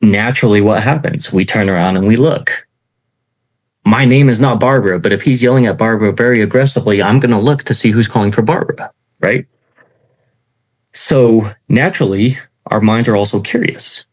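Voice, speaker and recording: A middle-aged man gives a loose, unscripted sales lesson in a fairly low voice. He talks in an even, self-described monotone, stopping often to say "okay" and "right?" to his listeners. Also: fairly quick. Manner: self-described monotone, many fillers and "okay" check-ins. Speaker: sales trainer. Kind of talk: informal teaching talk.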